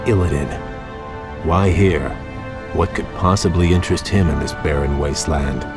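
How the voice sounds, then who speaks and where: Noble elf prince, deep voice, formal, resolute, fantasy game cutscene, prominent background music. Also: male voice, steady tone, moderate pace. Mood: solemn. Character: proud, noble elven prince leading his people. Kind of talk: dramatic fantasy game acting.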